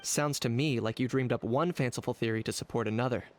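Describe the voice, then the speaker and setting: An actor voicing a cool, pragmatic young warrior in a video game, terse and matter-of-fact. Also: male voice, mid-range voice, quick pace, a little hiss or hum, natural voice. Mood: cool, brisk.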